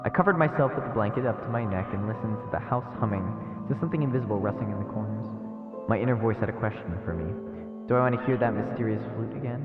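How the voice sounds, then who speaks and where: A man voicing an anxious child narrator of a horror story, reading in a measured, foreboding way with reverb. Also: lowish voice, voice pushed down. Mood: brooding, uneasy. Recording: echoey reverb, dull muffled sound. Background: game music.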